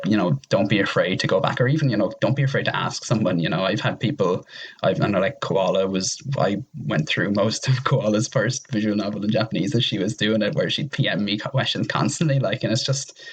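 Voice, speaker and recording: A man with a low-pitched voice that has a husky edge. He talks casually as a podcast panelist, rambling conversationally as he offers advice. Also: brisk, poor audio. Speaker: podcast panelist giving language-learning advice.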